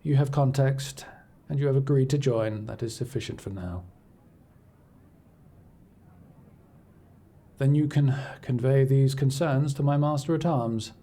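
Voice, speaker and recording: Man playing a husky, imperious fantasy scholar-agent. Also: mid-range voice, warm tone, close to an everyday voice. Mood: dry, dismissive. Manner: formal, measured, detached, dryly condescending. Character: cool, imperious male scholar-agent serving an arcane archivist patron. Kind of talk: scripted audio roleplay acting, addressing the listener.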